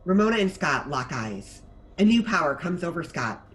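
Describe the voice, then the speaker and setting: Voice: mid-range voice, very animated, normal speed, fairly bright tone, a little hiss or hum. A narrator reading scripted stage directions for a table read.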